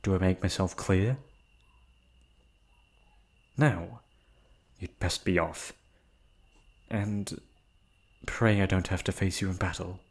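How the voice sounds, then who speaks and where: A man's voice: sits low, fairly even intonation, takes it slowly, long pauses, heavily acted. A man acting a shy, proud, wistful noble prince in a romantic fantasy roleplay, with hesitant, formal, sighing speech. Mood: stern warning.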